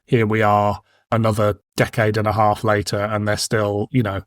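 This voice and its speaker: A man's voice: on the low side, completely flat delivery, moderate pace, no echo, studio quality. A man hosting a podcast, chatting casually and reflectively about audio drama in a discursive way. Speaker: podcast host.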